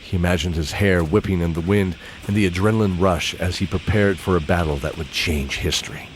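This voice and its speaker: Male narrator, deep voice, scripted fantasy storytelling over background ambience. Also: brisk, poor audio. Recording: clear background ambience noise.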